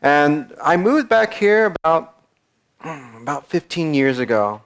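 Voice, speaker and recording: A man's voice: fairly high pitch, very animated, slow, clear, resonant tone. A man from the United States, a startup founder giving a conversational conference talk and acting out quoted voices. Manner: conversational, repeats words, acts out quoted voices.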